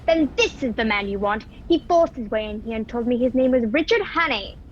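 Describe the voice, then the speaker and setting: A woman's voice: on the high side, expressive, fairly quick, natural voice. A woman playing a prim, sly young female train passenger in a radio play, recorded over a video call. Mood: betraying, tart. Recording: dull, noisy home video call.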